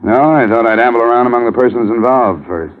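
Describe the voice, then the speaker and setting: An actor playing a wry, cool insurance investigator in a vintage radio drama, narrating and talking in a dry, deadpan, sardonic manner. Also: male voice, mid-range voice, fast, close to an everyday voice. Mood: dry, businesslike. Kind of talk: 1950s radio detective acting, hard-boiled narration. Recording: muffled vintage radio broadcast with music.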